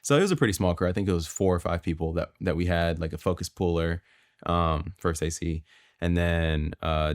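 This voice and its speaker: A young man from Florida with a deep, low voice recounts a video shoot in a loose, conversational way, full of fillers and restarts. The recording is dry and close, with no room sound. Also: clear, resonant tone, no echo. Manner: relaxed storytelling with many fillers. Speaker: guest videographer and director. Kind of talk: casual podcast conversation.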